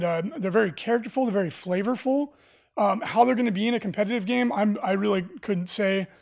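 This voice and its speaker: A raspy-voiced man hosting a casual live stream for a game store, talking enthusiastically with lots of fillers. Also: high-pitched. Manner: enthusiastic, rambling with many fillers. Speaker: livestream host for a game store.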